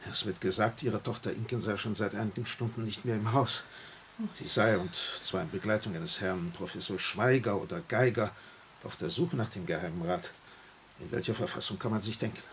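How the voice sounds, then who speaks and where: An actor playing a grave, courteous village pastor in a German radio play, speaking formally and politely. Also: male voice, lowish voice, normal speed, natural voice. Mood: grave, concerned. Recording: faint noise on old radio recording.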